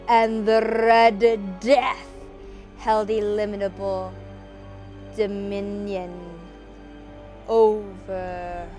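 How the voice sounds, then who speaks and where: A woman with a theatrical, expressive way of speaking, presenting horror stories as an amateur reader and host. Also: medium pitch. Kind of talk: dramatic reading, then enthusiastic casual chat.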